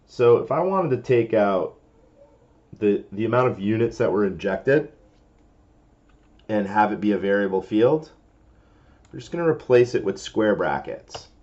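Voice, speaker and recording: Low-voiced man giving a software tutorial. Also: animated, measured pace, lo-fi sound. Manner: informal, explanatory, talking through each step. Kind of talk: casual step-by-step screen-recording tutorial.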